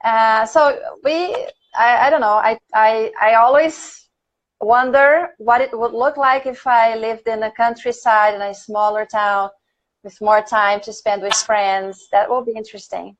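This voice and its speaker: A Brazilian woman whose first language is Portuguese leads a relaxed English conversation lesson, chatting and laughing as she thinks aloud. Her voice sits on the high side. The sound is dull and lo-fi, like a stream over the internet. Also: a little slow. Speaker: English teacher. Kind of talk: casual conversation class on a livestream.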